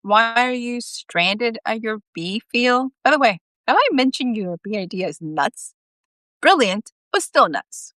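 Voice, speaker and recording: A woman's voice: on the high side, expressive, unhurried, pushed and bright, no echo, pitched above the performer's own voice. A woman voicing a bored, bossy male cat boss with a blunt, teasing manner in a story. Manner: blunt, teasing, matter-of-fact.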